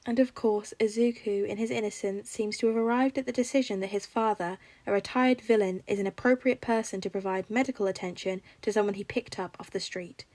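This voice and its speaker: Creaky-voiced woman narrating fiction. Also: medium pitch, fairly even intonation, fairly quick, hardly any pauses, darkish tone, no echo. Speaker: podfic narrator.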